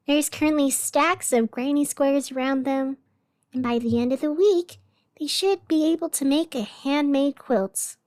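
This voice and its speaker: An actress playing an anxious radio host in a scripted fictional broadcast, deliberate and emphatic with strained cheerfulness. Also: female voice, high voice, no echo, clean studio sound. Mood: wry, chatty. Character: anxious woman radio host insisting everything is fine.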